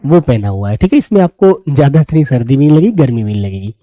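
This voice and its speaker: A man's voice: mid-range voice, very animated, quick pace, dark tone, dry recording, studio quality. A man with a slightly sore throat, teaching a live online class, addressing students affectionately and repeating key phrases as if dictating. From Uttar Pradesh, India. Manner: affectionate check-ins with students as "beta". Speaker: Hindi teacher of an online exam-prep class.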